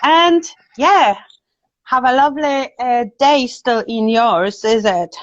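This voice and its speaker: A woman's voice: fairly high pitch, takes it slowly. A woman with a Polish accent, chatting casually and effusively as a live stream host. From Poland. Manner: effusive, chatty, frequent tag questions. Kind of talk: casual chat. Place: indoors, on a video live stream. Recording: dull, roomy video call audio.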